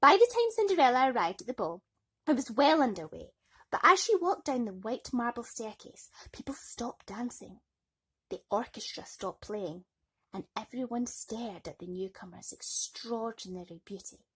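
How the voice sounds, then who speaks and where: A woman narrating a bedtime story in an expressive, theatrical way. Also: fairly high pitch, normal speed, husky.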